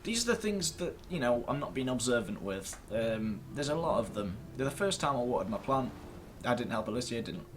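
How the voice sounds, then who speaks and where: A man's voice: medium pitch, big pitch swings, brisk, few pauses, background noise. A man gives casual, rambling gaming commentary to camera, self-deprecating and mildly sweary, recorded at his computer. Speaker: host of a gaming video series. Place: indoors at a computer screen.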